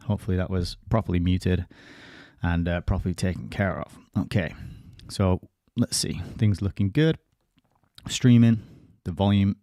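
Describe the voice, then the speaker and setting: A man hosts his own live stream, talking loosely and conversationally and dropping wry asides along the way. His voice sits fairly low, and the close desk microphone gives a dry sound with no room echo. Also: dark tone. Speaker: host of a live-streamed commentary show. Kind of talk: solo live-stream commentary.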